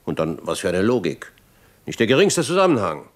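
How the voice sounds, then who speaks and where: An actor playing an argumentative, exasperated old gentleman in a German radio drama. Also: lowish voice, wildly swooping pitch, fairly quick. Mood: indignant. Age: older. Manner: exasperated, quibbling.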